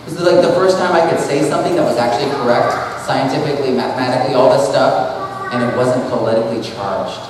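A man's voice: mid-range voice, fast talker. A man in his thirties from New England, giving a casual, rambling talk with a handheld mic to an audience in a large hall. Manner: rambling, restarts often, conversational and earnest. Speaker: speaker at an expo. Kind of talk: casual presentation. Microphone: handheld mic, far camera. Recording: echoey hall, voice from far off.

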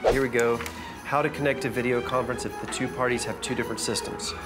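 A man's voice: medium pitch, fairly even intonation, fast talker, over loud music, close to an everyday voice. An American man in his thirties playing an exasperated, literal-minded office worker in a comedy sketch. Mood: earnest. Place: conference room.

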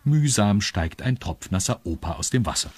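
A man's voice: on the low side, expressive, normal speed, heavy background noise. A man narrating a scripted children's story, confiding in his young listeners. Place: studio.

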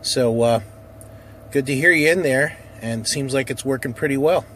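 A man's voice: medium pitch, normal speed, a bit bright. A man giving a casual demonstration as an amateur radio operator, recorded inside a car. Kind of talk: casual demo narration and radio call-outs. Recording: noisy car interior, handheld camera. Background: vehicle noise.

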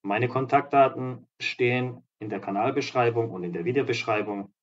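A slightly hoarse man giving an upbeat, promotional walkthrough of apartments as a real estate agent and vlogger. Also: mid-range voice, normal speed, muffled recording. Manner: upbeat, promotional, walks through rooms while talking. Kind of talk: property tour narration. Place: apartment complex in a seaside town, indoors and outdoors.

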